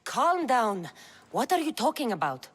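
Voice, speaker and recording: An actress playing a determined warrior-mercenary who speaks in a direct, resolute way. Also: female voice, a little high, sing-song, measured pace.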